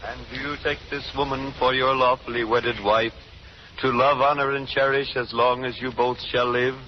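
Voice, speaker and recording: An actor playing a solemn, ceremonious wedding officiant, intoning formally in old-time radio drama style. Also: male voice, mid-range voice, level tone, moderate pace, close to an everyday voice. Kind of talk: 1930s radio drama acting. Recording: muffled, noisy old radio recording.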